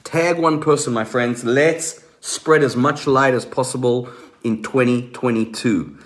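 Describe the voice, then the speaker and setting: A man giving a motivational coaching talk to online viewers, emphatic, repeating key questions with deliberate pauses. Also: mid-range voice, fairly even intonation. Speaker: motivational coach, host of a live stream.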